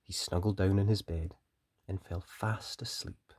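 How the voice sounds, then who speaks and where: A children's story reader and host, narrating a picture book in a gentle, deliberate and expressive way. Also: low-pitched, dry recording.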